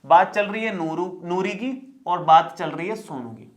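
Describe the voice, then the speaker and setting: A man's voice: high-pitched, moderate pace. A male math teacher explaining a lesson step by step in a chatty, reassuring way, often repeating points and checking in with the viewer.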